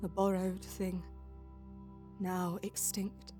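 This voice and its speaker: A woman playing a proud, quick-witted elven alien heroine, narrating her story in a lofty, poetic manner in an audio drama. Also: lowish voice, flat delivery, warm tone. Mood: solemn. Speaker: first-person narrator and lead character. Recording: music behind the voice.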